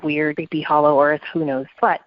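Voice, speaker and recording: A woman's voice: deep voice, level tone, moderate pace, muffled recording, a little hiss or hum. A woman co-hosting a conversational podcast, speaking in an earnest, opinionated way. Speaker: podcast co-host.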